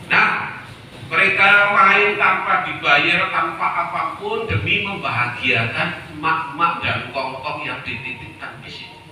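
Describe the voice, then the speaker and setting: A middle-aged man from Solo, giving a Confucian sermon in a storytelling way, slowing down to stress key words. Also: fairly high pitch, pushed and bright, slight background noise. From Solo, Central Java, Indonesia. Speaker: Confucian preacher. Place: temple hall. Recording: echoey hall, voice from far off.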